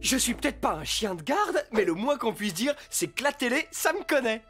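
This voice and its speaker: Earnest, excitable cartoon guard pet. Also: male voice, very high voice, moderate pace, heavily acted. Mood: proud, reassuring. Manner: boastful, then hesitant and stammering. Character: earnest, proud, timid male pet playing guard dog. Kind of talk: animated cartoon dub. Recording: voice over loud cartoon music.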